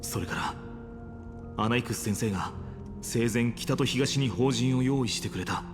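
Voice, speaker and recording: Man acting a quick-spoken resolute hero, reverberant. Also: mid-range voice, natural voice. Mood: earnest, resolute. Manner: earnest, determined. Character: earnest, resolute young male hero at war. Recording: hall reverb, loud game music.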